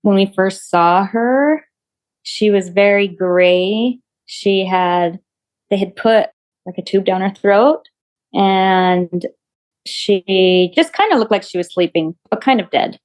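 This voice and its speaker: A middle-aged woman, a mother and interview guest, telling events in a steady, casual way and filling in details. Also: mid-range voice, slow, rough recording. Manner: steady, fills in details gently. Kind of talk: casual storytelling.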